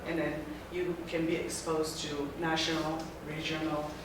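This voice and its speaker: A middle-aged woman from Taiwan giving an informal talk to a student group, speaking in halting phrases that often restart. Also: deep voice, little pitch movement. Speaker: guest speaker. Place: lecture room. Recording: very echoey room, mic far off, background noise.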